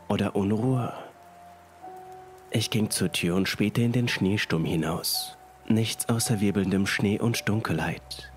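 Low-voiced man narrating horror over music. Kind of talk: scripted first-person horror narration.

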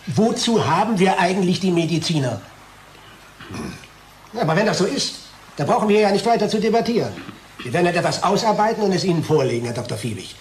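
A man's voice: sits high, expressive, fast talker. An actor playing a sarcastic, indignant male pharmaceutical sales manager in a radio play. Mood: exasperated. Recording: echoey room with background noise.

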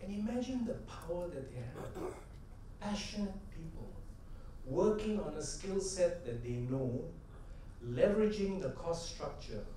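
A middle-aged man tells stories from a conference stage, asking the crowd rhetorical questions as he goes. His voice is mellow and dark, and it carries through a very echoey hall. He sounds a little distant from the mic, with faint noise underneath. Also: high voice, a little slow. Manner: animated storytelling, gestures, rhetorical questions. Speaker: conference speaker. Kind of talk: stage keynote talk with anecdotes. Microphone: headset mic.

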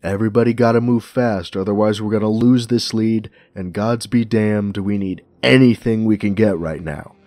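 A man playing a hard-boiled, commanding detective with terse, gruff delivery in an audio roleplay. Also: fairly low pitch, normal speed, hardly any pauses, mellow, dry recording, close to an everyday voice. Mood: urgent, commanding.

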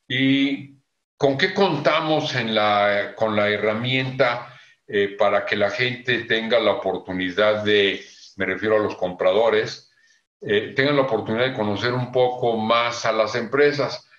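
An older man with a Mexican Spanish accent, a convention manager explaining plans in a measured way on a video call from his home office. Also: fairly low pitch, fairly bright tone. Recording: echoey room, dull lo-fi sound.

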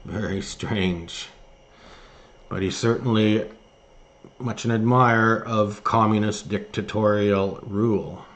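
A man hosting an online news commentary, reading articles aloud and remarking on them somewhat haltingly. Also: fairly low pitch, steady tone. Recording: noticeable background noise.

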